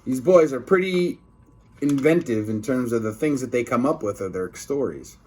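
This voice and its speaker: A man's voice: mid-range voice, lively intonation, moderate pace, a little mellow, slightly rough. A man reading a children's book aloud expressively, like a teacher, with informal asides to his listeners.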